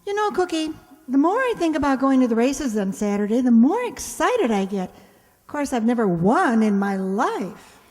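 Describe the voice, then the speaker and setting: A woman's voice: high voice, sing-song, normal speed, darkish tone. A woman playing a sensible, patient roommate in a radio comedy, with a dry, wry, explaining manner. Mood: friendly explaining. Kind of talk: radio sitcom acting. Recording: echoey hall, long reverb.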